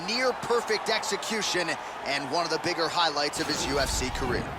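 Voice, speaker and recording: A man's voice: fairly high pitch, animated, a little fast. A man giving play-by-play commentary on a video game fight. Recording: loud arena crowd noise behind. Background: roaring arena crowd.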